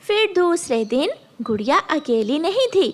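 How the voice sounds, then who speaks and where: A woman's voice: high voice, very animated, unhurried. A woman reading scripted narration for a children's cartoon story. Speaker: story narrator, also voicing bird characters.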